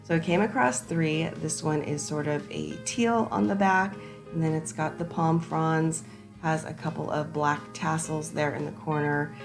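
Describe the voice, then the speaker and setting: Raspy low-voiced woman, hoarse and chatty. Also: fairly even intonation, normal speed, over music. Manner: chatty, enthusiastic, addresses viewers as friends. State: hoarse from a sinus infection. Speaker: shopping vlogger. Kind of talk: casual shop-with-me voice-over.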